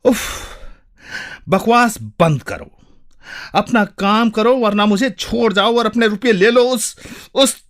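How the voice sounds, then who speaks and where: A man's voice: sits high, expressive, slow pace, a bit bright, pitched above the performer's own voice, exaggerated voice. A man voicing an irritable, exhausted woman with a curt, acidic manner in a dramatic story reading. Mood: angry, exasperated. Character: irritable, bitter, exhausted woman snapping at a client.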